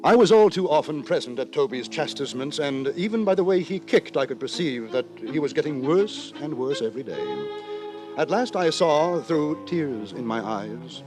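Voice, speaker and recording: A fussy, pompous gentleman narrator in a vintage radio play. His high, very animated voice swells with florid indignation. Music plays prominently behind him on the old recording. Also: moderate pace. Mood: aggrieved lamenting. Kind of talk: old-time radio drama acting.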